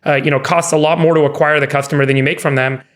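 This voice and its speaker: A man's voice: a little high, fairly even intonation, racing, fairly bright tone. A man co-hosting a casual podcast, explaining business ideas earnestly and upbeat. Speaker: podcast co-host. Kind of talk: casual banter.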